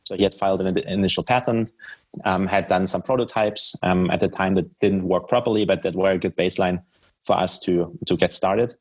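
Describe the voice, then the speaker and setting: A man's voice: deep voice, fairly even intonation, a little fast, breathy edge. A man from Germany with a German accent, answering conversationally as a guest in a podcast interview. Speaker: podcast guest, startup founder.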